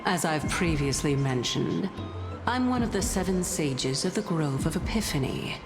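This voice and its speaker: A woman's voice: low voice, animated, moderate pace. A woman playing a sly, haughty female scholar in a fantasy game, with a composed, condescending and teasing manner. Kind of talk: scripted fantasy game voice acting. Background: music.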